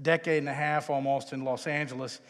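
A man's voice: medium pitch, steady tone, brisk. Texan man speaking in an echoey hall. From Texas, United States. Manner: casual, self-deprecating, conversational. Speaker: guest speaker at a chapel, filmmaker. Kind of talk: informal chapel talk, personal testimony. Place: large indoor chapel, live talk. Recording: echoey hall with long reverb.

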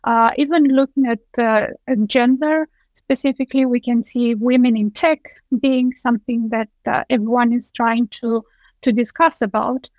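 A woman's voice: fairly high pitch, fairly even intonation, normal speed, darkish tone, no room sound. A woman from Romania, a guest speaking thoughtfully on a video call, often restarting her sentences. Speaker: guest engineering director. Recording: dull, dry lo-fi call audio.

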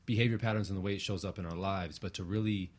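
Man, low voice, talk show guest, reflective, halting conversational delivery. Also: steady tone. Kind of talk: reflective conversational interview answer.

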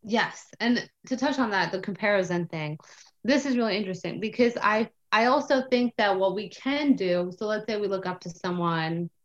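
Woman, podcast co-host, animated, acts out her thoughts, filler "like", mid pitch, slightly dull sound. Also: normal speed. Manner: animated, acts out her own thoughts in quoted voices, many "like"s and "okay"s. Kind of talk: casual conversational podcast chat.

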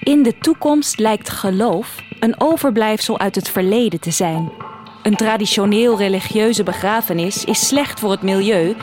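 A woman's voice: medium pitch, normal speed, darkish tone. A woman giving a scripted introduction to an audio drama episode as the series announcer. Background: music.

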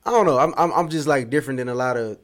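A man in his thirties, a comedian being interviewed, chatting in a relaxed, rambling way and often repeating himself. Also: medium pitch, rapid-fire. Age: 30s. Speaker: guest, comedian. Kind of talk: casual interview chat.

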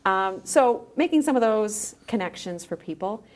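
A woman's voice: mid-range voice, expressive, normal speed, a little hiss or hum. A woman speaking as a guest in a conversational podcast interview, phrasing things hesitantly and letting thoughts trail. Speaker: guest, editor and audio creator.

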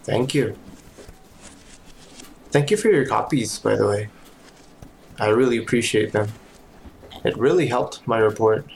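A man playing an easygoing, reassuring colleague in an audio drama, with a casual, understated, gently playful way of talking. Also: on the low side, expressive, unhurried, gravelly, pauses often, a little hiss or hum. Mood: tired, grateful. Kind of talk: audio drama acting, naturalistic dialogue.

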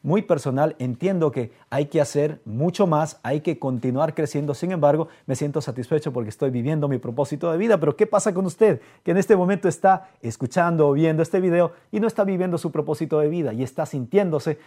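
Male podcast host, earnest and direct, warm mellow tone, slightly high pitch. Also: fairly quick, hardly any pauses. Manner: earnest, speaks directly to viewers. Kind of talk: motivational podcast talk. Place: live streamed podcast.

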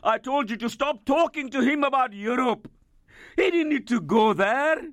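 A man's voice: very high voice, animated, moderate pace, fairly bright tone, dry recording, clean studio sound. A man playing an outraged, embittered middle-aged husband in an audio drama, heated and accusing. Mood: furious, accusing.

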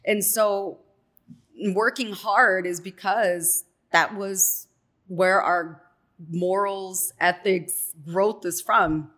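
A woman, a radio personality chatting as a guest in a lively, slangy storytelling way full of fillers. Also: medium pitch. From Chicago, USA. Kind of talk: casual interview talk.

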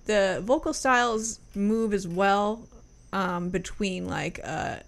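A woman hosting a casual music review chat, relaxed and loose, swearing offhand between fillers. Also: mid-range voice, slow pace. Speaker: music review podcast host.

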